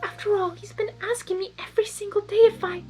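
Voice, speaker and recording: A timid young pony mare with a very high, hushed voice, put on by a woman reading a storybook aloud. She speaks softly and pleads, as if afraid to raise her voice. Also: fairly even intonation, fast, faint music behind. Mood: soft pleading. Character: shy gentle young female pegasus pony.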